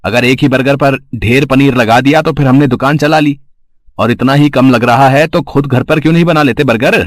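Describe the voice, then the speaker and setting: A man's voice: mid-range voice, brisk, dry recording, studio quality, close to an everyday voice. A man voicing plain adult male characters in a cartoon, a shopkeeper and a husband, speaking matter-of-factly. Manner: matter-of-fact, polite. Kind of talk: cartoon voice acting.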